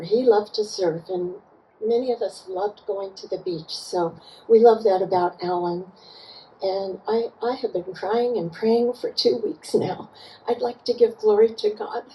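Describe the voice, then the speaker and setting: High, mellow woman's voice giving a eulogy. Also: a little hiss or hum, rough recording. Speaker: memorial speaker, cousin of the deceased. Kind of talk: personal eulogy and prayer. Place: outdoor garden gathering.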